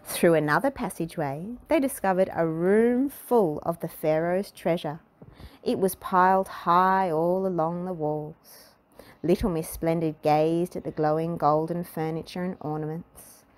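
Slow, low-pitched woman reading a storybook. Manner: expressive, deliberate. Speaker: storybook reader. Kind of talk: scripted children's storybook narration.

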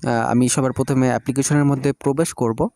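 A young man hosting a screen-recorded phone app tutorial, talking slowly and hesitantly with drawn-out fillers. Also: medium pitch, fairly even intonation, mellow, no room sound, studio quality. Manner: hesitant, many drawn-out fillers while clicking. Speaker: tech tutorial host.

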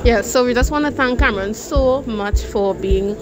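A lively young woman hosts a vlog from an indoor mall arcade, talking fast and cheerfully into a handheld phone. Her voice sits a little high for a woman. Music plays clearly underneath. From Trinidad and Tobago. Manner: chatty, energetic, quick outros. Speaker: vlogger host. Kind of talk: upbeat vlog presenting. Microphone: phone held to mouth. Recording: background music throughout.

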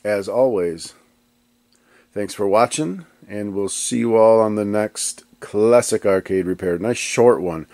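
A man's voice: fairly low pitch, measured pace, a little mellow, no echo. A man hosting an arcade board repair vlog, talking casually in his electronics workshop while stuffed up with allergies, rambling and restarting his sentences. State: stuffed up, congested.

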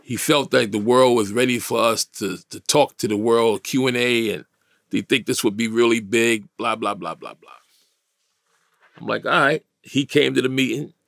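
A man in his fifties with a gravelly voice and a New York accent, telling a story in slangy, emphatic, streetwise interview talk. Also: medium pitch, moderate pace, fairly bright tone, no echo, clean studio sound. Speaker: interview guest. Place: small indoor recording studio, dry sound.

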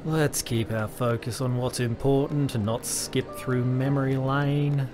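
A man's voice: mid-range voice, steady tone, a little slow, music in the background, natural voice. A man voicing a bossy, scornful pony agent and stern mentor in a curt audio drama performance.

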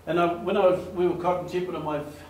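An older man from Australia, giving an animated storytelling talk at a lectern in a meeting room. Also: high-pitched, quick pace, a little hiss or hum. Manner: animated, gestures, acts out quoted voices. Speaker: speaker giving a slide talk. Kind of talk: storytelling presentation. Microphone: far camera. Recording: echoey room, faint ambience.

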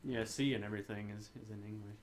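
A man's voice: lowish voice, steady tone, quick pace. A man in his thirties chatting casually and jokily as a gaming co-host, swearing now and then. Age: 30s. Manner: sweary, jokey. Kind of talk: casual joking banter.

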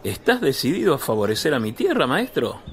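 A man's voice: medium pitch, wildly swooping pitch, fairly quick, a little hiss or hum. A man playing an eager, self-assured young male disciple in a radio drama, talking in a vivacious, insistent way. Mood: surprised, questioning.

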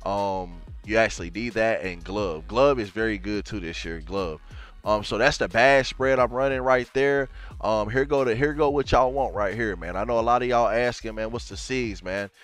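A man's voice: fairly low pitch, moderate pace, fairly bright tone, faint music behind. Stuffy-nosed young man, laid-back gamer talk. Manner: laid-back, addresses viewers as y'all, fillers like man and bro. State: stuffy from a cold. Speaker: gaming YouTuber. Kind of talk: casual game walkthrough. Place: home gaming setup, gaming chair.

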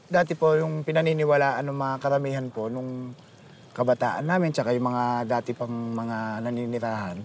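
A man's voice: mid-range voice, steady tone, normal speed, a little hiss or hum. Polite young Filipino man being interviewed. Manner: polite, deferential. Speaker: interview guest from the farm's family. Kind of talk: casual interview answers. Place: outdoors on a farm.

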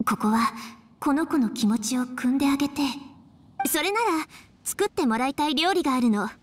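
A woman's voice: high voice, lively intonation, moderate pace, a little hiss or hum. An actress playing a refined, polite and composed young woman, considerate in manner, in anime game dialogue. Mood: considerate, calm.

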